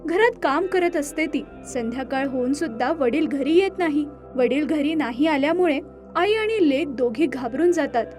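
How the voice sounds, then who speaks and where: A woman delivers scripted storytelling narration for an animated moral tale. Her voice is high, and faint music plays underneath. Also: moderate pace.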